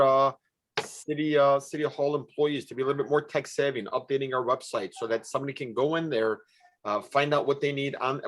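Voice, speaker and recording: A man speaking as a council candidate at a public forum, informal and a little halting. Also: mid-range voice, fairly bright tone. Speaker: city council member and candidate.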